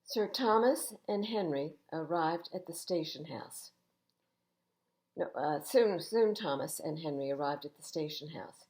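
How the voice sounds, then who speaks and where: Older woman reading storybooks, very animated. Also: low voice, a little slow. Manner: emphatic, expressive, addressing young children. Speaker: library storytime reader. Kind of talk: children's storybook reading with chatty asides.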